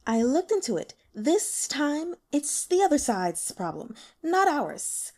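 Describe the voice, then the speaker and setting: A woman voicing a flirtatious otherworldly female informant, sibilant with drawn-out endings, in an audiobook. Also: high-pitched, big pitch swings, warm tone, pitched above the performer's own voice, heavily acted. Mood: flirtatious, exasperated. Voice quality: sibilant, hissing drawn-out final syllables. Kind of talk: audiobook character acting.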